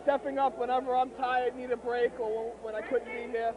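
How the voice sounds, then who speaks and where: A man with a very high, strained voice calls the game courtside, hoarse from long use and pushing emphatic energy into every line. The sound is thin and phone-like. A wash of noise sits behind him. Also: moderate pace. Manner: excitable, emphatic. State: hoarse, losing his voice. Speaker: play-by-play commentator. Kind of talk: amateur league courtside commentary. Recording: tinny, noisy outdoor recording.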